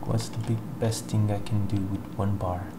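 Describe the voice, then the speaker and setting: A young man with a Portuguese accent, casually commenting on his gameplay, excitable and muttering to himself. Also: fairly low pitch, normal speed. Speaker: gameplay streamer. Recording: loud background noise.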